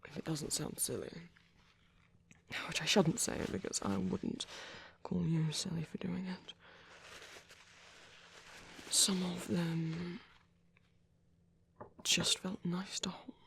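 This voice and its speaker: A man voicing a gentle, caring vampire priest in an ASMR roleplay, speaking in a soft, breathy whisper with unhurried, hesitant pauses. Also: fairly high pitch, a little hiss or hum, exaggerated voice. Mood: soothing, reflective. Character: gentle, caring male vampire priest comforting a little one. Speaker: ASMR performer.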